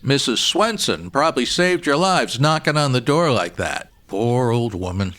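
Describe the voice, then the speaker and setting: An actor playing a folksy, wry state trooper with easygoing authority in a comedy audio drama. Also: male voice, mid-range voice, expressive, normal speed, noisy background, natural voice. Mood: chatty.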